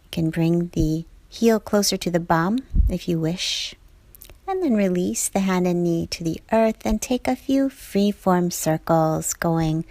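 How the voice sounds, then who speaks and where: Slow, soft-spoken woman yoga instructor. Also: fairly low pitch, no room sound. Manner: calm, measured cueing in short phrases. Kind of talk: guided yoga class instruction.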